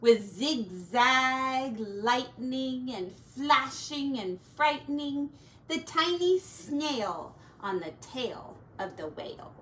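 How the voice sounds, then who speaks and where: A woman reads a children's storybook aloud at a very slow, deliberate pace. Her delivery is theatrical and lively, with big swings in pitch and stretched-out key words. She sounds a little distant in an echoey room. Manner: theatrical, drawn-out key words with hushed asides. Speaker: teacher reading a picture book to children. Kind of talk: expressive read-aloud of a rhyming children's storybook with asides. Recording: roomy echo, distant mic, faint noise.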